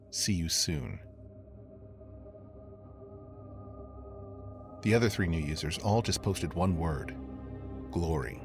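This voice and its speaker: A brooding investigator telling a dark mystery, really low voice, slow deliberate confiding delivery, music behind him. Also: male voice. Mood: measured, ominous. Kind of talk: scripted first-person horror podcast narration.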